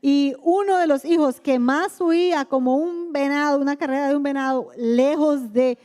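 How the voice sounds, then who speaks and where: A woman's voice: sits high, fairly even intonation, moderate pace, warm tone. A woman interpreting a church service phrase by phrase, repeating each line after the speaker. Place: large church hall.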